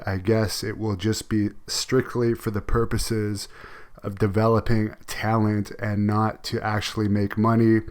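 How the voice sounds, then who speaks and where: A man's voice: on the low side, clear, resonant tone. A man hosting a wrestling news show, giving matter-of-fact commentary.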